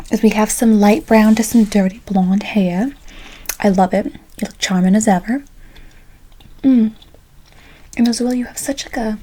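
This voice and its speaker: A soft-spoken woman doing an ASMR roleplay as a folksy, chatty late-night barber, often slipping into a whisper. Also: mid-range voice. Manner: folksy, chatty, calls listener pet names. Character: chatty, tired late-night female barber, sweet and sassy.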